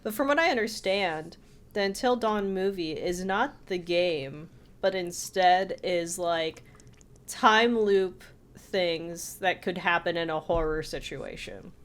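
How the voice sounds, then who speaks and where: A woman's voice: lowish voice, takes it slowly. A woman co-hosting a casual podcast, chatty and given to telling things as quoted back-and-forth. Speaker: podcast co-host.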